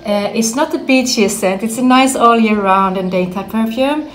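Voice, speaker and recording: A woman's voice: fairly high pitch, normal speed, echoey room, slight background noise. A woman in her thirties, a perfume vlogger, chatting casually and upbeat about her favourite scents. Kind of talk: casual vlog chat.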